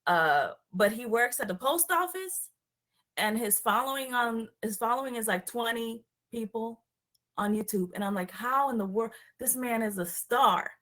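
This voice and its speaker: A woman's voice: a little high, expressive, normal speed, fairly bright tone. Woman, Puerto Rican accent, show host, casual call interview, enthusiastic, filler-heavy. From Puerto Rico. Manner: chatty, enthusiastic, trails off with fillers.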